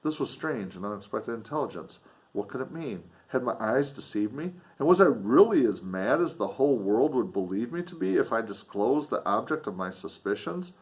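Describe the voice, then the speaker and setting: A man reading a classic novel in an expressive audiobook style, with spoken commentary. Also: mid-range voice, moderate pace, low-quality recording.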